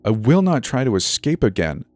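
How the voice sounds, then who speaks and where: A man's voice: mid-range voice, big pitch swings, normal speed, a little mellow, no echo, soft background music, voice pushed up, close to an everyday voice. A man voicing a creepy, petulant little girl who controls people like puppets, with a whiny, sing-song manner. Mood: commanding.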